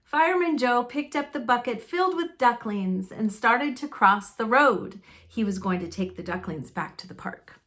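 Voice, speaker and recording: A woman reading a children's storybook aloud expressively and deliberately, with dramatic pauses. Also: a little high, lively intonation, fairly bright tone. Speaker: storybook reader.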